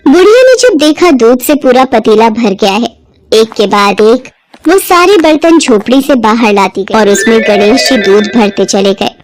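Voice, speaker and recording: A woman's voice: a little high, lively intonation, normal speed, soft background music. A woman reading scripted narration for a devotional storybook tale as the storyteller.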